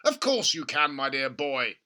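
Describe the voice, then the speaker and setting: A man voicing an overbearing, excitable middle-aged father with grand schemes in a storybook reading, exclaiming loudly. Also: mid-range voice, measured pace, full character voice. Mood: bossy, eager.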